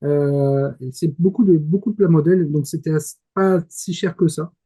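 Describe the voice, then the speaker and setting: Mellow, higher-pitched man, hesitant enthusiast. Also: moderate pace, slightly rough. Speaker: correspondent in Japan. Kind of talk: casual panel talk about anime.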